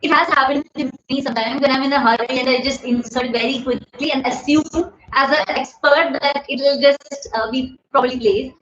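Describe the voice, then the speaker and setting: Loud, high-voiced Indian woman in an echoey room. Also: fairly even intonation, a little fast, few pauses. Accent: Indian English. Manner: chatty, laughs often, thanks viewers by name. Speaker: livestream host. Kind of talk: casual live Q&A. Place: bedroom at home. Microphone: phone camera at desk distance. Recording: echoey room, dull phone audio, faint hiss.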